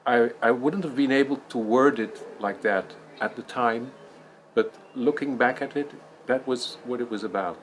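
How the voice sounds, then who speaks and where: A man from Belgium, a narrative therapist, recalling a workshop in a soft-spoken, reflective way. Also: medium pitch, moderate pace, a little hiss or hum, poor audio. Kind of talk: reflective recollection.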